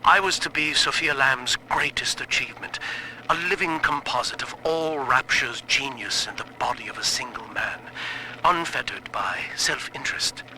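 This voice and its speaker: A learned scientist weighed down by guilt, recording a game audio log. His voice is sharp and very bright, his phrasing formal and articulate. Plain noise runs under the recording. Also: medium pitch, moderate pace, poor audio. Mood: bitter, despairing. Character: guilt-ridden scientist, a man, sliding toward madness. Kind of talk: dramatic recorded audio-diary monologue.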